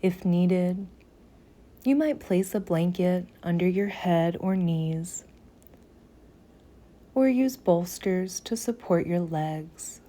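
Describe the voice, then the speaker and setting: A woman guiding a meditation in a soothing, gentle manner, softly breathy at phrase ends, with long pauses between short phrases. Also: lowish voice. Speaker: yoga nidra meditation guide. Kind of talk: slow guided meditation.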